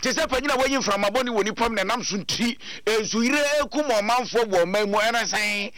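Ghanaian radio guy, punchy commentary, bright voice, totally dry booth sound. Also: high-pitched, a little fast, no echo. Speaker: radio news presenter.

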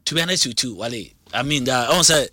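A man discussing football as a pundit, halting and stammering as he mixes languages. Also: mid-range voice, lively intonation, pushed and bright, no echo, studio quality. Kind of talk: heated sports discussion.

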